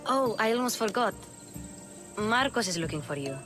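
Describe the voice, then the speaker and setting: A woman's voice: a little high, animated, moderate pace, over music, natural voice. A woman playing a plucky, cheeky young orphan girl with a Greek accent in a video game. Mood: matter-of-fact. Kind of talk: video game cutscene acting.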